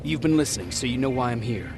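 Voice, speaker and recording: An actor playing a terse resistance soldier in a video game, direct and questioning, always to the point. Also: male voice, medium pitch, expressive, fast, over music, close to an everyday voice. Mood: businesslike. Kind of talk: video game cutscene acting.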